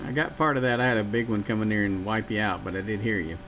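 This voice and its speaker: A man on CB radio, chatting quickly and casually about his equipment. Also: lowish voice, dull audio. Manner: quick, chatty gear talk with fillers. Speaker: CB radio hobbyist. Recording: noisy CB radio signal.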